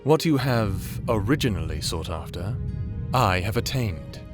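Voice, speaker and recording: A man playing a haughty, menacing dark lord in a fantasy audio roleplay, speaking in a formal, imperious, condescending way. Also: on the low side, animated, measured pace, music in the background. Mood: smug, menacing.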